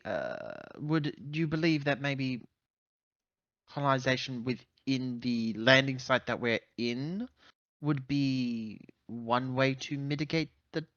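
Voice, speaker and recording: A man playing a tabletop roleplaying game, asking questions in halting, deliberate, wordy phrases. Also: medium pitch, a bit bright, no room sound. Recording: dull, dry voice-chat microphone.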